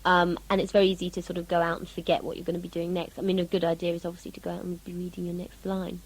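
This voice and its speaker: A woman's voice: on the low side, completely flat delivery, racing, husky, rough recording. A woman, an actress being interviewed casually about her work, with a jokey, self-mocking manner. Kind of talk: casual behind-the-scenes interview.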